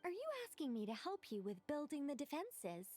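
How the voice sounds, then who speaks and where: An actress voicing an ancient angelic mage in a video game, formal and ceremonious with a sly, playful streak. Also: female voice, high voice, sing-song, brisk, darkish tone, faint music behind. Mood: poised, knowing. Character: ancient angelic female mage, poised, grand, slyly playful. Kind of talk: scripted video game dialogue acting.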